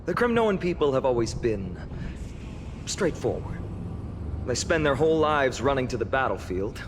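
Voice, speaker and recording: Man acting a lively, teasing young warrior. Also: medium pitch, normal speed, big-room sound, background noise, close to an everyday voice. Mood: wry. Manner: playful, teasing, theatrically confident. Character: cheerful, teasing young male warrior, playful and competitive. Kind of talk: scripted video game voice acting.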